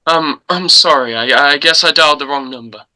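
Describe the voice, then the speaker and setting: A man's voice: fairly high pitch, normal speed, bright, forceful tone. A man acting a mysterious phone stalker in an online table read, coaxing and insinuating with feigned politeness. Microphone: video call microphone.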